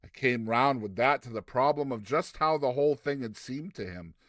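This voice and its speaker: A man reading a classic story aloud as a theatrical, expressive narrator with deliberate delivery. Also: mid-range voice, dry recording, clean studio sound. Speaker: narrator, reader.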